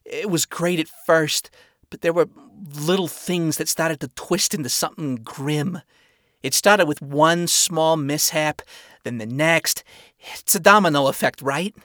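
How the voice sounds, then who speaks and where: A man's voice: fairly high pitch, unhurried, a bit bright, no echo, studio quality. A man voicing a flamboyant, wary male spider demon with a raised, punchy, streetwise delivery. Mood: grim.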